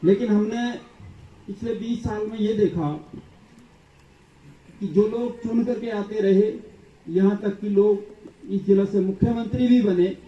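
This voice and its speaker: A man's voice: sits high, unhurried, long pauses. Man giving a distant echoey public speech. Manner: rhetorical, repetitive lists. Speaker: political speaker. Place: public gathering. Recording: echoey, distant, dull sound.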